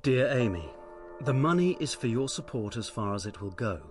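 A man's voice: mid-range voice, very animated, moderate pace, close to an everyday voice. Man acting a proud, bitter English writer, music behind. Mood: cold, formal. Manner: formal, stiff, wounded dignity. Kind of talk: period radio drama acting.

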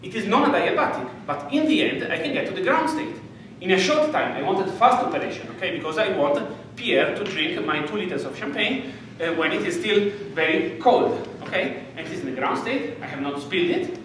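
Middle-aged Italian man, animated lecturer, bright high voice, far off in a booming hall. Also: brisk. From Italy. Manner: animated, gesturing, playful storytelling with frequent "okay?" checks. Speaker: physics lecturer. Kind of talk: lively university lecture. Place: dark lecture hall with projector screen. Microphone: distant room mic, speaker walking around. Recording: echoey lecture hall recorded from afar with room noise.

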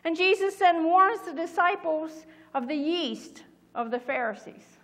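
A middle-aged woman pastor giving a sermon from a church pulpit, speaking in a measured, earnest way. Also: extremely high pitch, expressive, a bit bright. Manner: measured, earnest reading aloud. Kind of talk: sermon with scripture reading. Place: church sanctuary behind a pulpit. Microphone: small mic at the pulpit. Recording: long church reverb.

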